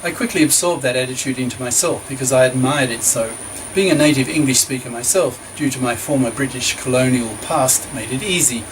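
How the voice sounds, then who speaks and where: An older man reading his own book aloud, measured and opinionated, now and then restarting a phrase. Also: medium pitch, noisy background.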